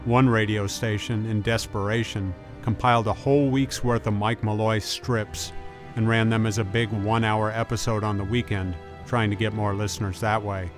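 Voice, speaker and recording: A man's voice: on the low side, level tone, moderate pace. A man reading scripted documentary narration in informal, conversational wording. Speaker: narrator and presenter. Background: guitar music.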